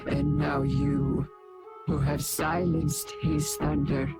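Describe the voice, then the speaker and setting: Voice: mid-range voice, flat delivery, takes it slowly, creaky, over music. An actor playing a solemn, foreboding guardian of a fallen realm in a video game, grave and formal. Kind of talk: dramatic game voice acting.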